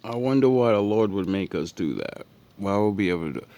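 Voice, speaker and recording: Low-voiced sarcastic man commenting. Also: lively intonation, fairly quick, warm tone, dry recording. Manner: sarcastic, profane, mocking asides. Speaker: commentator reacting to clips. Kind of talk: casual reaction commentary.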